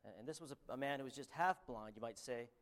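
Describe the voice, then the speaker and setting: A man with a Canadian accent delivers a church sermon in a mid-range voice. His delivery is earnest and halting, full of ums and restarts. He reads scripture aloud between plain, friendly remarks. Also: medium pitch, fairly bright tone. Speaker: preacher.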